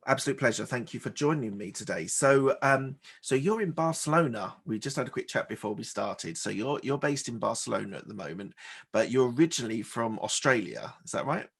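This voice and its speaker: A man's voice: medium pitch, animated, fairly quick, vocal fry, a bit bright, breathy edge. A man hosting a business podcast, asking questions with many hesitations and repeated words. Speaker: podcast host. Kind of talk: interview podcast.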